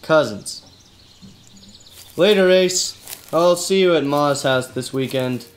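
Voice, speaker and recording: A man's voice: fairly high pitch, slow pace. A man playing a weary, skeptical hardboiled detective with colloquial talk, in a noir-parody radio drama. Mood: softening, puzzled. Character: exasperated, hardboiled male detective questioning his cousin.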